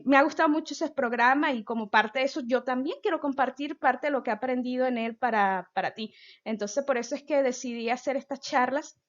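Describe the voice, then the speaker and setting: A woman's voice: fairly high pitch, highs cut off. A woman in her thirties with a Venezuelan accent, a physicist giving an informal outreach talk and speaking straight to the listener. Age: 30s. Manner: chatty, addresses listener directly. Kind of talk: informal science explainer.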